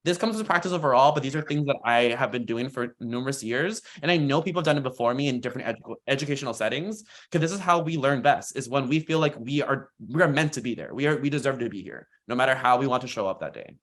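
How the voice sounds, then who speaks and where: A man's voice: medium pitch, fast talker, hardly any pauses, fairly bright tone. An American man speaking informally on a workshop panel, with an earnest, encouraging manner. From United States, Florida and rural Texas. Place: online video call.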